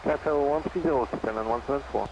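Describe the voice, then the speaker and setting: A man, an airline pilot speaking into a headset in a cockpit, making quick radio calls with some hesitant fillers. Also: medium pitch, highs cut off, a loud crowd. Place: aircraft cockpit in flight. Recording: noisy cockpit with engine hum.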